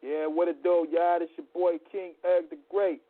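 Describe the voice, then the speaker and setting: A man's voice: fairly high pitch, flat delivery, moderate pace. A man hosting a casual internet radio show, greeting listeners and reading sponsors in a hype, slangy way. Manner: hype, slangy, shout-outs. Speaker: podcast host. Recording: tinny phone-like audio.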